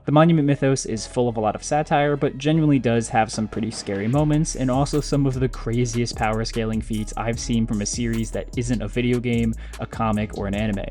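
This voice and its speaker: A man's voice: mid-range voice, a little mellow. A man hosting an online video, narrating a scripted essay in a conversational, explanatory way. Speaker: YouTube host. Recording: music behind the voice. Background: background music.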